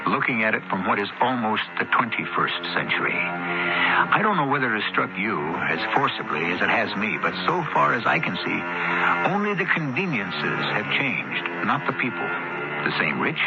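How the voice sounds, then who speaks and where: A man hosting a radio drama with measured, ruminative, dramatic scripted narration. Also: lowish voice, very animated, loud music behind the voice. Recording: muffled old radio recording.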